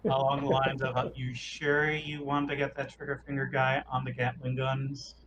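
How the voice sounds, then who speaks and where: A man's voice: mid-range voice, level tone, normal speed, noisy background. A man chatting casually as a player in a tabletop roleplaying game.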